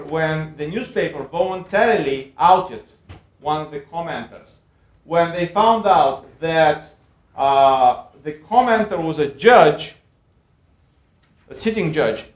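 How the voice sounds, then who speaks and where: A man from Bulgaria with a Bulgarian accent gives an extemporaneous academic talk in a halting way, with many repeats and filled pauses. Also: on the high side. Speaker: panel speaker at a university lecture. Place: indoor lecture event room. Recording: echoey room sound.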